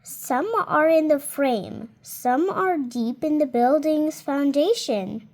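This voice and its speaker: High-voiced seven-year-old girl reading aloud. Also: slow, a little mellow. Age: child, 7 years old. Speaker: child reader. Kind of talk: read-aloud of a children's nonfiction book with spontaneous comments.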